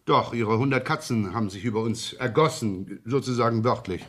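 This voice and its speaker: An actor playing a gruff, sarcastic, irreverent police inspector in a radio crime drama. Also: male voice, medium pitch, fairly quick, natural voice. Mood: businesslike. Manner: grumbling, blunt, dry sarcasm. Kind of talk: 1970s German radio crime drama acting.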